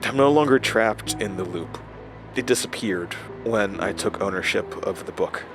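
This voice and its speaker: An actor playing a haunted, weary man confiding his supernatural ordeal in a halting horror audio drama monologue. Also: fairly low pitch, lightly acted. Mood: weary, driven. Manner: halting, confessional, dramatic pauses. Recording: music behind the voice.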